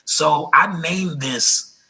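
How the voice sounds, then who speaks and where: A raspy-voiced man from Los Angeles, speaking as an interview guest in an earnest, grateful way, often restarting his sentences. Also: fairly high pitch, very slow, sharp tone. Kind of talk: casual interview talk.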